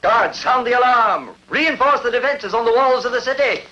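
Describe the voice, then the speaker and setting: A man's voice: very high-pitched, normal speed, bright, forceful tone, lo-fi sound, slight background noise. A man acting a superstitious, commanding king in a dubbed historical epic, declamatory and imperious. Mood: urgent command.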